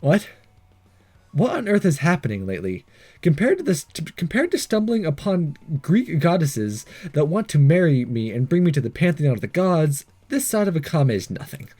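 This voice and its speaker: Male let's-play host: animated, slightly high but soft-edged voice, casual and wry, thinking aloud while playing. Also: normal speed. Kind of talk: casual game commentary, reading text aloud.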